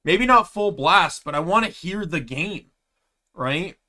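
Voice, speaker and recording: A young man streaming a game, chatting casually in rambling asides with a loud voice. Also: high-pitched, expressive, measured pace. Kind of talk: casual gameplay chat. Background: game music.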